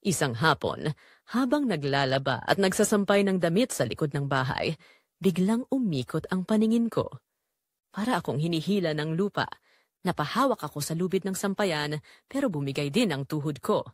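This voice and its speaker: A woman's voice: low-pitched, big pitch swings, normal speed, dry recording, studio quality. A woman playing a weary, neglected mother who tells her own story in an emotional, pleading audio drama narration. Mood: sorrowful. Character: Marites, weary, neglected, long-suffering Filipino mother.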